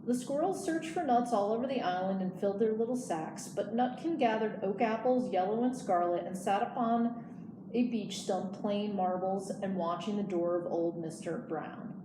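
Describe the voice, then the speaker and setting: Female storyteller for a children's read-along, mid-pitched, recorded in a reverberant room with noticeable noise. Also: moderate pace. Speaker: teen librarian, storyteller. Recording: echoey room, clear background noise.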